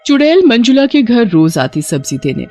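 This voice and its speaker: A woman narrating a scripted horror story in a steady storytelling voice. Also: normal speed, faint music behind. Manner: steady storytelling, dropping lower for eerie moments.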